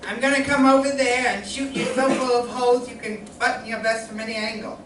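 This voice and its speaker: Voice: medium pitch, fairly even intonation, a little fast, close to an everyday voice. A young performer playing a dim, wisecracking, girl-chasing comic in a vintage radio comedy routine on stage. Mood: puzzled, wisecracking. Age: young adult. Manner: wisecracking, complaining. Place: live stage in a community playhouse. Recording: very echoey distant hall, clear noise.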